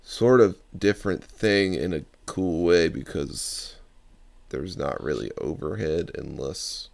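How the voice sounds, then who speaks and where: A man hosting a podcast, with a very deep bassy baritone, who explains his points in a measured, step-by-step way. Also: creaky, a little mellow, dry recording. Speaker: podcast host. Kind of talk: casual conversation.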